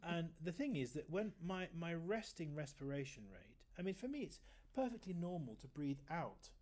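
A man in his fifties with a fairly high voice, chatting in an interview and telling rambling stories with many self-corrections. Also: big pitch swings, moderate pace, darkish tone. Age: 50s. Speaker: guest oboist. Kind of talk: casual interview chat.

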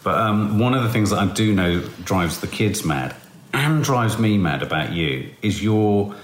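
Man co-host, animated swooping voice, roomy echo. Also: fairly low pitch, measured pace, slight background noise. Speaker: podcast co-host. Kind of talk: casual podcast chat.

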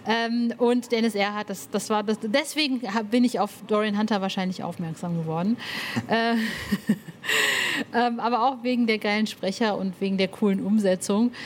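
A woman's voice: medium pitch, a little hiss or hum. A woman hosting a podcast interview in a casual, enthusiastic, slangy way.

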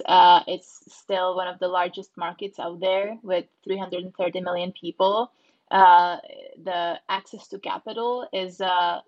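A woman answers interview questions, pausing on frequent fillers as she thinks. Her delivery stays fairly level, with little rise and fall. The audio is dull and muffled, like a lo-fi recording. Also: lowish voice. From Czech Republic. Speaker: startup founder guest.

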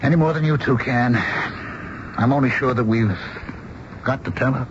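An actor playing a worried, protective middle-aged father, earnest and halting as he repeats his words in a radio drama. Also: mid-range voice, natural voice. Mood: uneasy resolve. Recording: muffled radio recording with noise.

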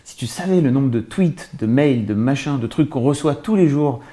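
A man with a soft-edged, mellow voice at a middle pitch. He works as an interviewer, putting questions in a casual, informal way. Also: animated, moderate pace. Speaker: interviewer and host.

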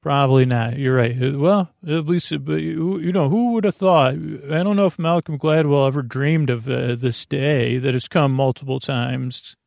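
Man, creaky fry, medium pitch, dry sound, bedtime podcast host, halting, meandering, whimsical, soothing. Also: darkish tone, no room sound. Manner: meandering, halting, self-interrupting. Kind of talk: rambling bedtime monologue.

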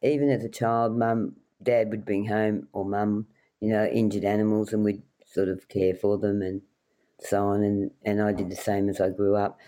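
A woman's voice: very deep voice, little pitch movement, normal speed, mellow. A middle-aged woman from rural New South Wales with an Australian accent, telling casual anecdotes as an interview guest. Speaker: podcast guest, wildlife carer.

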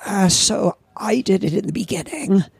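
A man in his seventies with a Welsh accent and a croaky old voice, chatting as a podcast guest and telling lively stories that repeat words for effect. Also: sits high, moderate pace, dry recording. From Wales. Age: 70s. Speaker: guest, veteran surfer. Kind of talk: casual anecdotal chat.

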